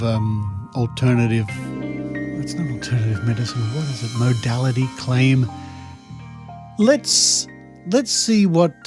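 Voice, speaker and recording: A man with an Australian accent hosting a podcast in a conversational monologue, wry and musing, with deliberate pauses for emphasis. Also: medium pitch. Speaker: podcast host.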